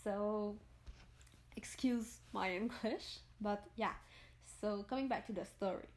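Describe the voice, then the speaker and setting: A woman's voice: medium pitch, takes it slowly, a little mellow. A young French Canadian woman, French her first language, narrating a game-building video in a rambling way and often searching for words. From Montreal, Quebec. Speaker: gaming YouTuber.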